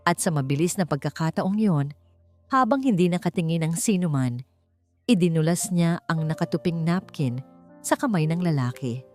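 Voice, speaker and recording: Lowish woman's voice doing dramatic audiobook narration, suspenseful, bit of music behind. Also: a little mellow. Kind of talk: dramatic scripted story narration. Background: background music.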